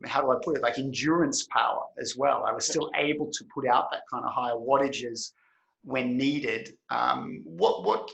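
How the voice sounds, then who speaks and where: A man's voice: mid-range voice, vocal fry, a bit bright. An Australian man hosting an interview, asking long, rambling questions with frequent restarts. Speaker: podcast host. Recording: echoey room.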